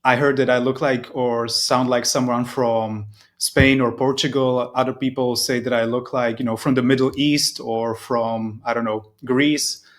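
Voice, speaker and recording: A man's voice: mid-range voice, level tone, measured pace. Man in his thirties. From Serbia. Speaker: interview guest, proptech company representative. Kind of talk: conversational interview answers.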